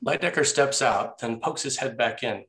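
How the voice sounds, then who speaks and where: A man's voice: medium pitch, normal speed, fairly bright tone, rough recording. A man narrating the scene directions of a screenplay at a table read. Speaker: narrator reading stage directions.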